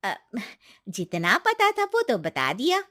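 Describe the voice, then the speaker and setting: A woman's voice: fairly high pitch, huge pitch swings, slow pace, pushed and bright, no room sound, studio quality, heavily acted. A woman voicing a kindly, secretive young village woman in a cartoon, speaking in an emphatic, reassuring, colloquial way. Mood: evasive. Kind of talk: Hindi moral-story cartoon dialogue.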